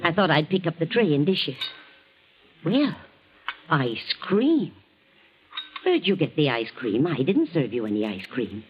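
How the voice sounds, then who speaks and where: Excitable, swooping-voiced radio-drama housekeeper. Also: female voice, deep voice, measured pace, pauses often. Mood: brisk, puzzled. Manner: defensive, emphatic, easily flustered. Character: excitable, defensive housekeeper, sharp-tongued and loyal. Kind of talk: 1940s radio acting. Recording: muffled vintage 1940s radio recording.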